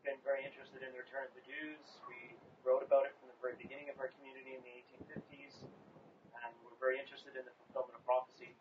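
A man's voice: mid-range voice, a little hiss or hum. A man in his forties asking plain, curious questions in an outdoor interview on a hilltop overlook. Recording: distant, dull sound with faint outdoor ambience.

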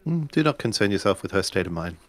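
A man's voice: on the low side, sing-song, fast talker. A man playing a polite, dutiful samurai retainer in a tabletop game, speaking formally and courteously. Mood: reassuring.

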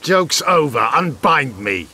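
An actor playing a proud, bitter elf rebel commander in a video game, haughty and grandiose with an edge of menace. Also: male voice, a little high, big pitch swings, slow pace, gravelly, sharp tone, slightly rough, full character voice. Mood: angry.

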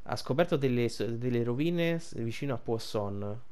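A man giving casual, informal game commentary, halting and correcting himself as he translates dialogue on the fly. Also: medium pitch, animated, warm tone, slight background noise. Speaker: video game streamer.

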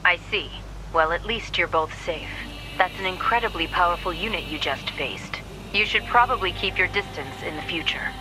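A woman's voice: lowish voice, normal speed, rough recording. A woman voicing a guarded, authoritative android commander in a video game, speaking formally over radio. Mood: composed, guarded. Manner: composed, formal.

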